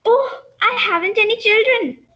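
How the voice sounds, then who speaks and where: A woman performs a prim, polite neighbour in an amateur stage reading. The recited lines come out extremely high and bright, on a slightly muffled recording. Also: normal speed. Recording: dull, muffled sound.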